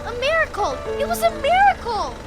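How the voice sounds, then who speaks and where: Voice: very high voice, big pitch swings, fairly quick, over music. A young performer playing an eager, curious little boy in a children's audio drama, chatty and full of questions. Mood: excited. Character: excitable, curious, earnest little boy Luke.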